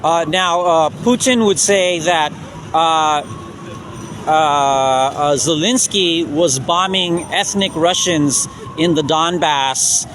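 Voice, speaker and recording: A man conducting an informal street interview, feeling his way haltingly through probing questions. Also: fairly high pitch, a bit bright. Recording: outdoor street noise, traffic.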